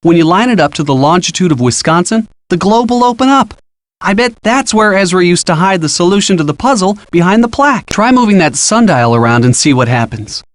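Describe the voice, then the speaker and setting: An actor playing a helpful, upbeat college-age boyfriend with an American accent, giving casual, encouraging detective hints in an adventure game. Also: male voice, high-pitched, sing-song, brisk, no echo. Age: young adult. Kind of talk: scripted game hint dialogue.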